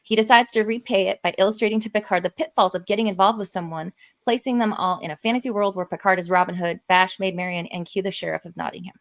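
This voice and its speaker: A woman's voice: medium pitch, steady tone, fast, hardly any pauses. A woman casually hosting and directing a live script table read on a stream, often chuckling as she talks.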